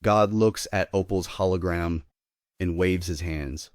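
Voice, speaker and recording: A man's voice: low voice, unhurried, no echo, clean studio sound. A man narrating stage directions in a scripted table read.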